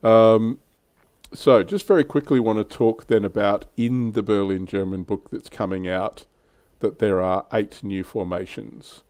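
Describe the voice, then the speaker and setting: A man's voice: on the low side, fairly even intonation, a little slow, darkish tone, dry recording. A man hosting a casual livestream chat about tabletop wargaming in an informal, conversational way. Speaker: livestream host.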